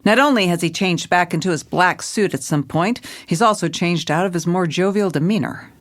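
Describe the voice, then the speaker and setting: A woman's voice: lowish voice, moderate pace, natural voice. An actress playing a hard-boiled, weary female police commissioner in a noir audio drama, with a wry, clipped delivery. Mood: tense narration. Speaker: narrator and lead character.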